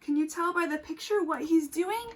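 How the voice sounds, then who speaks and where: A woman teacher reading a picture book aloud to young children, expressive and emphatic, addressing them directly with questions. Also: very high-pitched, moderate pace.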